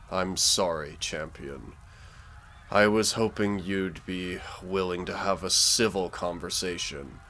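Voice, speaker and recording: A man playing a menacing, smooth-talking dark warlord in a roleplay audio drama, theatrical, deliberate and condescending in his persuasion. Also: deep voice, slight background noise, heavily acted. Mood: sardonic, threatening. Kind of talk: scripted roleplay audio drama, villain monologue.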